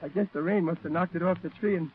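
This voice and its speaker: An actor playing a brash, excitable, comic Marine corporal in a 1940s radio drama. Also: male voice, on the high side, fast talker, husky. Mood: sheepish. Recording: muffled old 1940s radio recording.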